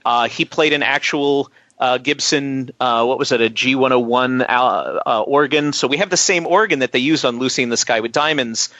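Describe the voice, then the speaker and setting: Bright-voiced man chatting as interview guest. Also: mid-range voice, normal speed, no room sound, highs cut off. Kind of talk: chatty interview anecdotes about making music.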